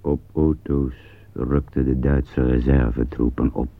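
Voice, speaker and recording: A man narrating a war radio drama in a solemn, grave manner with a gravelly voice. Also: very deep voice, little pitch movement, measured pace, full character voice. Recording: thin phone-like old radio recording.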